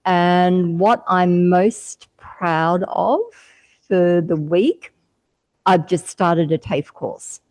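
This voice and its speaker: A woman introducing herself at a club meeting, measured and slightly unsure. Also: fairly low pitch, darkish tone, no echo. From Australia. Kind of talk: casual self-introduction.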